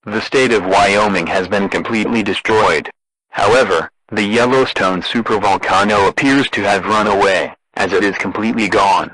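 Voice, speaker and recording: A man with a synthetic, deadpan and formal delivery, reading a scripted emergency broadcast announcement. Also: on the low side, normal speed, dry recording, low-quality recording.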